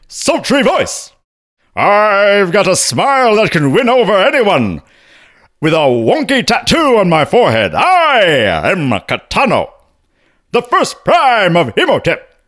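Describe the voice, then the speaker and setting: A man voicing a grandiose male alien rebel warrior leader in slow, declamatory oratory. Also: high-pitched, fairly bright tone, pitched above the performer's own voice, exaggerated voice.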